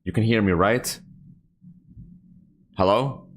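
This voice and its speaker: A man's voice: lowish voice, takes it slowly. A man hosting a casual, improvised livestream, talking in an informal, rambling and slightly scattered way. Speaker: live streamer and host.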